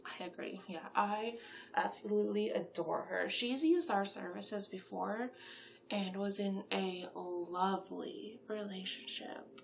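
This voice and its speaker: A woman plays a female monster matchmaker, chatty and encouraging, confiding in a client as part of an ASMR roleplay. The delivery is unhurried and gushing, with plenty of creaky fry. Faint noise sits behind the voice. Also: medium pitch. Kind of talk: horror roleplay ASMR, one-sided conversation.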